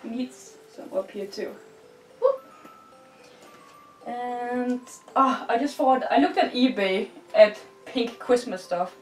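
A woman's voice: a little high, slow. Young Danish woman, bubbly rambling vlogger, far from the mic in an echoey bedroom. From Denmark. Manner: bubbly, giggly, rambling with many "like"s. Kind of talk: casual chat while decorating. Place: bedroom, indoors. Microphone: far camera. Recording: roomy, distant, with music faint behind.

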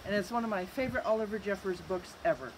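A middle-aged woman reading a picture book aloud as a storyteller, with an expressive, amused delivery. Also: medium pitch, quick pace. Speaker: library storyteller. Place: outdoors on a covered wooden bench structure. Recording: outdoor recording with faint ambient noise.

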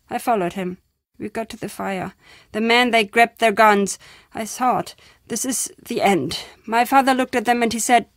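An actress playing a very old, playful, wistful woman storyteller in a video game, speaking theatrically in unhurried phrases with dramatic pauses. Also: medium pitch, a bit bright, no room sound, studio quality. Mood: grave, reminiscing. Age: older. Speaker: game character.

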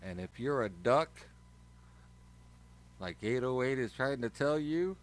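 A man's voice: medium pitch, lively intonation, measured pace. A man hosting an online chat room in a folksy, radio-style manner. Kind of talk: radio-style sign-off talk.

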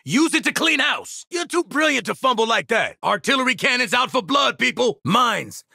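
An actor playing a tough, cocky, wry mercenary sniper in a video game, with streetwise, slangy, profane swagger. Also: male voice, medium pitch, sing-song, normal speed, fairly bright tone, breathy edge, no echo, clean studio sound, exaggerated voice. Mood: urgent, commanding. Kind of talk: short video game combat and banter lines.

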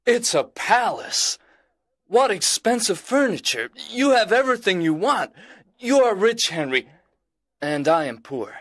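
A man voicing a desperate, excitable young man who pleads for help and bursts with joy. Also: sits high, unhurried, no room sound, voice pushed up, heavily acted. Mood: pleading. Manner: pleading, breathless and overjoyed.

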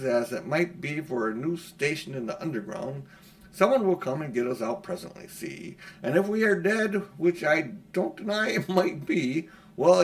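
A man's voice: fairly high pitch, close to an everyday voice. A man voicing a cheerful working-class cabbie, firm and reassuring, in a storybook reading.

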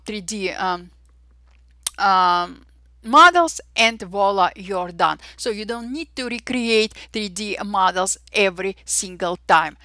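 A woman with an Armenian accent hosting a software tutorial, cheerful and chatty with frequent fillers and little exclamations. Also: on the high side, no echo, clean studio sound. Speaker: Photoshop tutorial host. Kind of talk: screen-recorded software tutorial.

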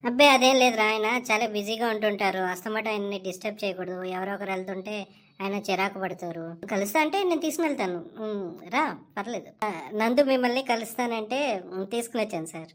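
A woman's voice: medium pitch, fairly quick, natural voice. A woman voicing a meek, good-natured young male office manager in a comedy cartoon, hesitant and deferential in the way of talking. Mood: nervous, deferential. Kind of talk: Telugu comedy cartoon dialogue.

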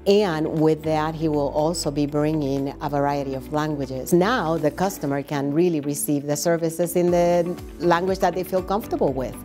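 A middle-aged woman, a school language program supervisor, giving measured, explanatory interview answers in a classroom. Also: low-pitched, steady tone, warm tone, faint music behind. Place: classroom with flags behind.

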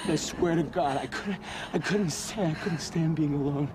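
An actor playing a desperate, protective man in a screen drama, halting and repeating himself with intense emotion. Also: fairly high pitch, noisy background, lightly acted. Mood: anguished confession. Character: desperate, protective husband confessing and pleading. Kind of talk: live-action screen drama acting. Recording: loud music under the dialogue.

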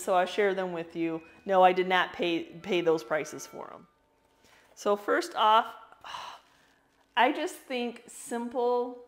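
A woman, a reseller chatting casually on her vlog as she shows her auction finds, rambling with fillers and small laughs. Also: fairly low pitch. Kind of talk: casual vlog show-and-tell.

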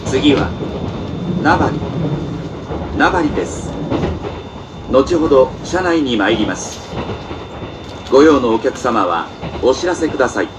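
A man's voice: medium pitch, lively intonation, takes it slowly, long pauses. A man reading a scripted train announcement formally, phrase by phrase. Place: train PA heard inside a moving carriage. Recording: distant onboard speakers, loud train noise.

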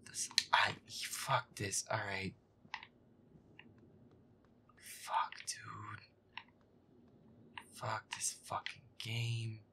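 A man playing a feisty werewolf boyfriend who grumbles and swears sarcastically through a scary video game in a breathy, whispery voice. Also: fairly low pitch, level tone, extremely slow, pauses often, sharp tone, heavily acted. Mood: annoyed muttering. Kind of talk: gameplay roleplay, muttered reactions.

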